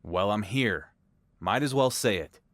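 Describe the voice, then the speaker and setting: A man's voice: on the low side, expressive, a little slow, fairly bright tone, no room sound. A man playing a confident wrestling champion in a game cutscene, talking in a wry, self-assured way. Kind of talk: video game cutscene acting.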